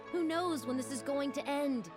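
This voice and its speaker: A woman's voice: high voice, normal speed, over music, close to an everyday voice. Actress as stern, exasperated woman troupe manager. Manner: blunt, exasperated, commanding. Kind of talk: voice-acted game cutscene dialogue.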